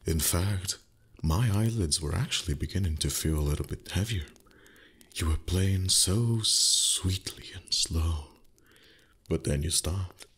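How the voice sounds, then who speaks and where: A man voicing a haughty, teasing male dragon in intimate ASMR roleplay, husky and breathy, condescending with flustered pauses. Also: sits low, a bit bright, full character voice. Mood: grudging, teasing.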